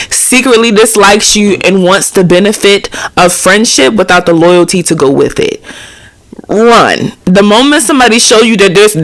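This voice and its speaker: Lady giving friend advice, stuffy nose from a cold, lively and preachy. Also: fairly low pitch. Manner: animated, preachy, acts out quoted friends. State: nasal from a cold. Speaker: host. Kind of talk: casual advice talk to camera.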